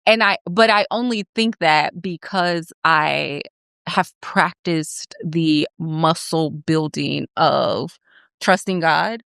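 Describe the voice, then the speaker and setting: A woman's voice: low-pitched, takes it slowly, gravelly, a bit bright, smooth, no echo, studio quality. A young woman co-hosting a faith podcast, explaining her views earnestly and asking her co-host questions. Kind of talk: faith conversation.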